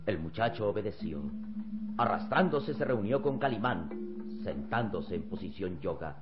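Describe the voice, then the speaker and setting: A man with a low voice narrates a radio drama. He is emphatic and theatrical, building suspense. The recording is dull and old, with music clearly playing behind him. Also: lively intonation, moderate pace.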